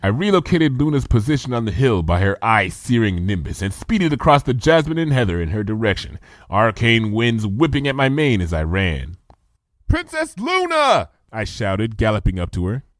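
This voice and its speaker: A man's voice: on the low side, lively intonation, moderate pace, no echo. A man reading a comedy adventure story aloud with vivid first-person action narration. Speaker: fanfiction reader.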